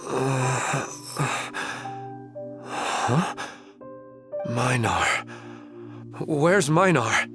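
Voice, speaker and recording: An actor playing a gentle, wistful, lovelorn man who speaks earnestly in a video game. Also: mid-range voice, sing-song, extremely slow, pauses often, exaggerated voice. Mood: dazed. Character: gentle, wistful man longing for his lost wife. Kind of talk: anime-style video game acting. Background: music.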